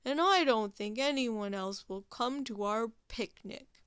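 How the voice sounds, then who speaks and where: A woman's voice: a little high, measured pace, fairly bright tone, smooth, no echo, studio quality. A woman voicing a gloomy walrus who worries and moans about everything. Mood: worried. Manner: moaning, fretful.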